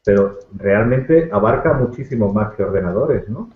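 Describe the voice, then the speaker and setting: Man, panel host, lowish voice, hesitant restarts, tinny phone-like sound, roomy echo. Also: expressive, moderate pace. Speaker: host, moderator. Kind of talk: moderating an online panel. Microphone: video call. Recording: tinny echoey phone-like line.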